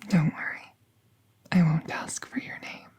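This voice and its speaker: A woman playing a calm, gentle magical veterinarian in a soft, breathy, soothing ASMR-style roleplay, often half-whispering. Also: on the low side. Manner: slow, hushed, soothing, reassuring. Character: gentle, calm female magical veterinarian treating a wounded werewolf.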